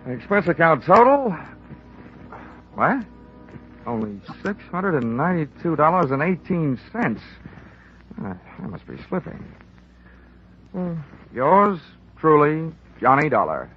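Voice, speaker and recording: Wry hard-boiled radio detective, lively pitch. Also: male voice, a little slow, pauses often. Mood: dry, sardonic. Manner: sardonic, wisecracking. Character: wry, hard-boiled male insurance investigator narrating his case. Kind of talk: 1940s radio detective acting. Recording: muffled old radio recording, faint music.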